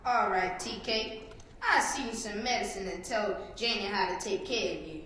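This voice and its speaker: A teenage girl playing a brisk, folksy Southern country doctor in a dramatic stage reading. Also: medium pitch, a bit bright, lightly acted. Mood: firm. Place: public reading in a hall. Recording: very echoey hall, faint noise.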